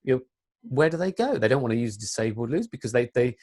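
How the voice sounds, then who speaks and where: A woman with a deep voice and an English accent, speaking earnestly as an interview guest, with frequent restarts and fillers. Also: big pitch swings, quick pace, darkish tone. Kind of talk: interview conversation.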